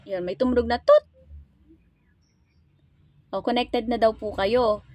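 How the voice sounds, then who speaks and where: Higher-voiced Filipino woman, casual tutorial vlogger. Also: steady tone, slow pace, darkish tone, dry recording. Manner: chatty, informal, addressing viewers directly. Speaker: vlogger and motorcycle gear seller demonstrating products.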